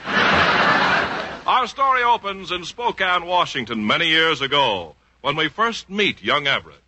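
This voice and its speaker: Man, vintage radio announcer, highish ringing voice, mock-grand narration, declamatory, faint noise of an old broadcast. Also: big pitch swings, pushed and bright. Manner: pompous, declamatory, drawn-out phrases. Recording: noisy, crackly 1940s radio recording.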